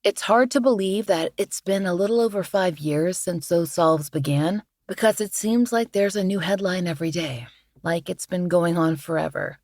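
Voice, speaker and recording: A woman hosting a true-crime podcast in measured, earnest scripted narration, her voice a little scratchy from the flu. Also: on the low side, a little mellow, no echo, clean studio sound. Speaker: podcast host.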